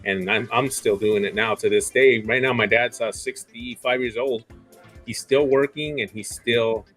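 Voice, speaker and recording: A man in his forties, a guest on a casual chat, talking in a relaxed way with a lot of "uh"s. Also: medium pitch, moderate pace, breathy edge, soft background music. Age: 40s. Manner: relaxed, many fillers and restarts. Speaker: guest reseller.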